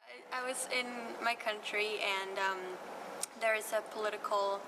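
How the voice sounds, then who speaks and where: 18 yo woman, halting flat answers, far off mic, loud music behind. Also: mid-range voice, background noise. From Colombia. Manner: hesitant, with many pauses and fillers. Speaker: interviewee. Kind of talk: interview answer. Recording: distant voice over loud music.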